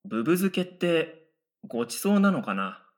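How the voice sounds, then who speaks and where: A man's voice: a little high, very animated, moderate pace. A man playing a middle-school boy, the dry, exasperated straight man of a radio comedy, giving retorts and wry narration. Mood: puzzled. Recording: loud background music.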